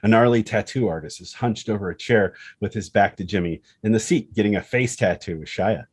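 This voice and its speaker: A man's voice: lowish voice, a little fast, slightly rough. A man reading stage directions as scripted narration for a screenplay table read.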